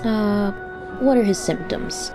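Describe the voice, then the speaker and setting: A woman playing an elegant, composed half-elf potion master in a fantasy audio drama, measured and professional. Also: medium pitch, over music, close to an everyday voice, low-quality recording.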